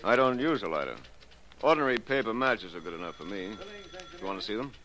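An actor playing a nervous, defensive murder suspect in a 1940s radio detective drama. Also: male voice, fairly low pitch, very animated, fast talker, pushed and bright. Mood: wary, bitter. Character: edgy, defensive male murder suspect, ruined investor.

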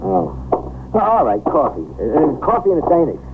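A man acting a hesitant, obliging building superintendent in a 1950s radio crime drama, halting and repeating himself. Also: a little high, wildly swooping pitch. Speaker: actor. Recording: tinny, faintly noisy 1950s radio broadcast.

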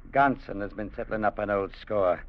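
An actor playing a principled, peace-loving trader, earnest and stern, in a vintage radio drama. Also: male voice, on the low side, moderate pace, close to an everyday voice. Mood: earnest, calm. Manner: earnest, old-fashioned turns of phrase. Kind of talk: 1950s radio drama acting. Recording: muffled vintage radio recording.

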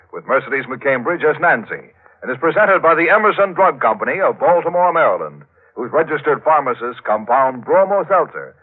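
A man's voice: medium pitch, breathy edge. A man with an American accent announcing and reading sponsor copy in 1940s radio style. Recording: tinny, band-limited old radio.

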